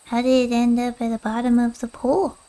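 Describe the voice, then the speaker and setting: A woman's voice: a little high, completely flat delivery, fairly quick, mellow, lightly acted. A woman voicing a curious, earnest young boy in a video game, with a wistful manner.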